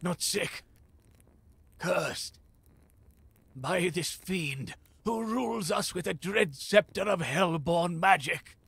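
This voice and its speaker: An actor playing a frail, cursed philosopher in a fantasy audio drama, solemn and theatrical, with a gravelly, husky voice. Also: male voice, high-pitched, takes it slowly, long pauses, a bit bright, dry recording, heavily acted. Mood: bitter, pained. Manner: theatrical, portentous, archaic phrasing.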